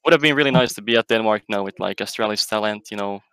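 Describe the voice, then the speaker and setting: A man's voice: lowish voice, fast, fairly bright tone, smooth, no room sound. A man from Finland, an esports player chatting casually as a panel guest. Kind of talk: casual chat.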